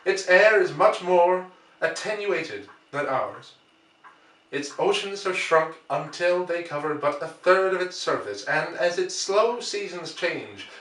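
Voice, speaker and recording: A man reading a classic novel aloud as an audiobook narrator, with deliberate phrasing and dramatic emphasis. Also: on the high side, fairly bright tone. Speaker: audiobook reader. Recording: roomy, echoey, distant from mic.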